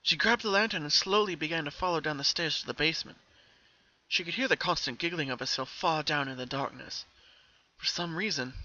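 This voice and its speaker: A man's voice: fairly high pitch, sharp tone, muffled recording. A man reading a scripted story aloud as its narrator, in a dramatic storytelling style.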